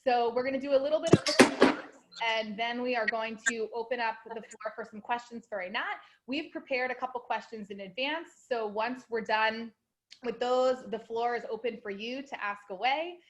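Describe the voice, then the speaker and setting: A woman's voice: a little high, moderate pace, muffled recording. Brief: young female host, bubbly and casual, lots of "um" and "like", video-call audio. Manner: bubbly and chatty, many fillers like "um" and "like". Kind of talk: hosting a live online program.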